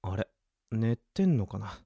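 A man's voice: fairly low pitch, big pitch swings, unhurried, gravelly, mellow, no room sound, clean studio sound, heavily acted. A man playing an exasperated but caring teenage schoolboy in an anime-style radio drama, brusque and easily flustered. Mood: worried, puzzled.

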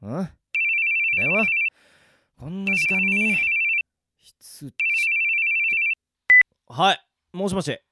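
A man's voice: sits high, animated, slow pace, long pauses, heavy background noise, voice pushed up. A man playing an overworked young office worker in an amateur radio drama, weary and muttering to himself.

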